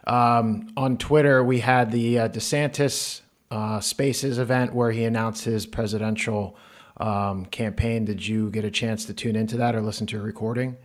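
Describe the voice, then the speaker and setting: A man's voice: lowish voice, fairly even intonation, normal speed. A man hosting a podcast, opening the show and chatting with his guest in an upbeat, conversational way. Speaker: podcast host.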